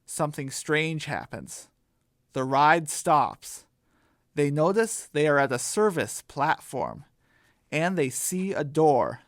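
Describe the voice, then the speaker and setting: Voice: mid-range voice, no room sound, studio quality. A storyteller narrating a scripted children's radio drama.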